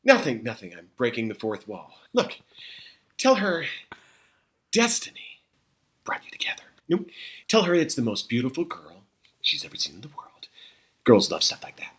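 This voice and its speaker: A man voicing a wisecracking, sarcastic young friend in a comic table read, with dry asides and hushed exasperation. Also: mid-range voice, huge pitch swings, moderate pace, long pauses. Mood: exasperated.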